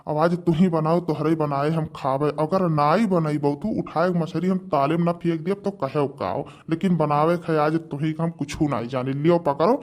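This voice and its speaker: A man's voice: a little high, steady tone, normal speed, hardly any pauses, darkish tone, close to an everyday voice. A man voicing a bossy old village husband in a homemade cartoon, with an Awadhi accent and an insistent, ordering manner. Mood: demanding. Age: older. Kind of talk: homemade cartoon dialogue in rural dialect.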